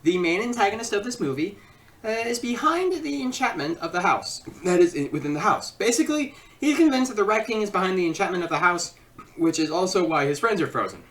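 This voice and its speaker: A woman's voice: mid-range voice, expressive, fairly quick, fairly bright tone, far from the mic. A woman narrating an informal comedic review as a video reviewer, with joking, mock-dramatic asides.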